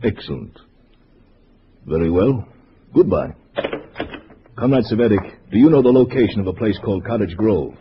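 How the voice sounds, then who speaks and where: A man's voice: lowish voice, lively intonation, normal speed, long pauses, lightly acted. A man playing a formal, suspicious veteran Soviet party agent in a 1950s radio drama, stiff and commanding in his phrasing. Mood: quietly exultant. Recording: dull, faintly noisy old radio recording.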